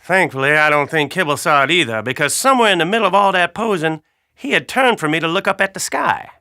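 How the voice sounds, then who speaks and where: Guy doing a chatty blackbird for a kids audiobook, bright voice, swoops around, friendly and a bit wry. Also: high voice, vocal fry, exaggerated voice. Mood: wry, confiding. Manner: folksy, expressive, addresses listener directly. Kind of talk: animated children's audiobook storytelling.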